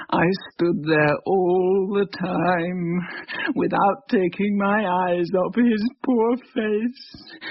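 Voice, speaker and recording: A woman playing the grieving ghost of a starving young widow in a radio drama, tearful and slow. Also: on the high side, no echo. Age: 20s. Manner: slow, broken confession. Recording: dull lo-fi radio sound.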